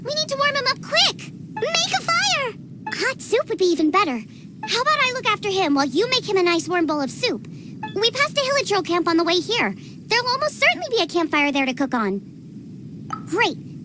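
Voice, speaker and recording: A woman's voice: very high voice, big pitch swings, a little fast, noisy background. An actress playing an eager, upbeat teenage girl chef in a video game, speaking in a bubbly, emphatic way. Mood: urgent. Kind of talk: animated game voice acting.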